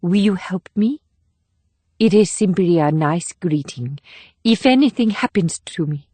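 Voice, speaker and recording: A woman plays a young female operative in a thriller audiobook, gentle yet guarded and burdened. The voice is measured and a little low, recorded very dry. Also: animated, breathy edge, no room sound. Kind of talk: scripted audiobook dialogue.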